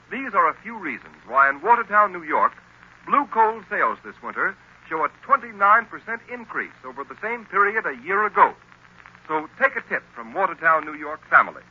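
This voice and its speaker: A man's voice: high voice, slightly rough. An American man announcing a scripted advertisement in 1930s radio style, emphatic and formal. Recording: dull vintage radio recording.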